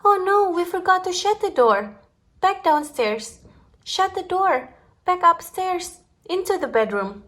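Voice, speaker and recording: A woman reading a children's picture book aloud in an expressive, chant-like storytime style, drawing out her words. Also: extremely high pitch. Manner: deliberate, chant-like delivery with drawn-out words.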